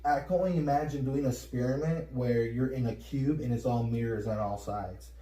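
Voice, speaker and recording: A man's voice: medium pitch, brisk. Puerto Rican man, roomy and distant. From Puerto Rico. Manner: energetic, repeats words when excited. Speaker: podcast co-host. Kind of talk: casual spiritual chat. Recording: roomy echo, mic at a distance.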